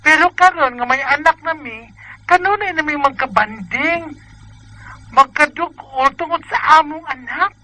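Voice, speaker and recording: Woman acting meddling married friend, high piercing voice, Bisaya Filipino accent, blunt, exclamatory, radio drama, slightly noisy recording. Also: unhurried, bright, forceful tone. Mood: scolding, teasing. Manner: scolding, exclamatory.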